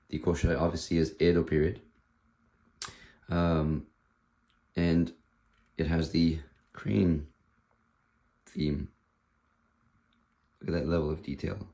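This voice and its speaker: A man with a hoarse, fading voice, casually showing off a sword with frequent pauses and restarts. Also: low-pitched, steady tone, warm tone. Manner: halting, with many fillers and restarts. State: hoarse, losing his voice. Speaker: sword dealer presenting a katana. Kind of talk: casual show-and-tell.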